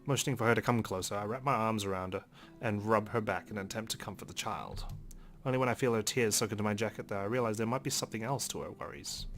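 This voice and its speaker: A man's voice: on the low side, a bit bright. A man reading a visual novel aloud in dramatic first-person narration for a let's play.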